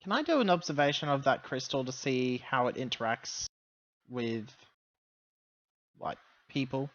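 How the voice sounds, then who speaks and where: A man's voice: medium pitch, sing-song, a little slow, a bit bright, no echo, dull audio. A man chatting casually as a player in a tabletop roleplaying game.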